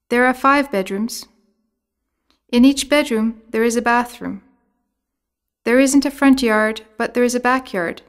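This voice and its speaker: A woman narrates a language course in a fairly high voice. She reads scripted material and vocabulary with careful, clear enunciation. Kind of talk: scripted lesson reading, word drills.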